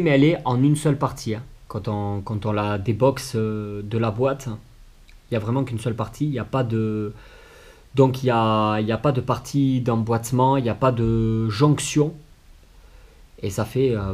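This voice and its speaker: Mellow-voiced Frenchman with a cold. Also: mid-range voice. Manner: hesitant, many "euh" fillers and restarts. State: has a cold, low on voice. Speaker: collectibles reviewer. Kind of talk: casual unboxing review.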